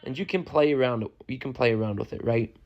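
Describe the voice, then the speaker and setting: A young man, a music producer, giving a loose, chatty step-by-step software lesson and often checking in with the listener. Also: mid-range voice, fairly quick, highs cut off. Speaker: music producer teaching a tutorial. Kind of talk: casual step-by-step software tutorial.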